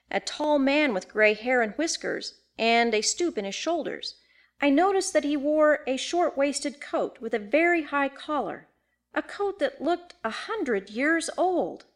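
Woman reading as a shaken, determined young gentlewoman. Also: fairly high pitch, expressive, unhurried, pitched above the performer's own voice. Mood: earnest. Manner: earnest, formal, precise. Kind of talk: dramatised audiobook ghost story.

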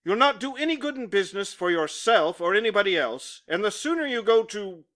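A man's voice: sits high, very animated, brisk, fairly bright tone, voice pushed up. A man voicing a stern, contemptuous male employer who scolds curtly and emphatically. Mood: scornful.

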